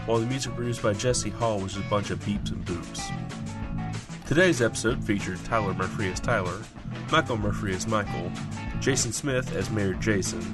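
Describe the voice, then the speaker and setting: A man reading scripted end credits as an announcer. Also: lowish voice.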